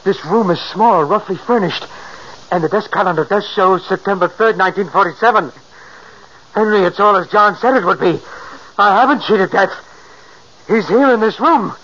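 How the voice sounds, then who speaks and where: An actor playing a wounded, doom-haunted man in a 1940s radio drama, with a strained, creaky, halting delivery. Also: high-pitched, fairly bright tone. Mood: fearful, agitated. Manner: halting, fraught, melodramatic. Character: wounded, doom-haunted man facing his foretold death. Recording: muffled, noisy old radio recording.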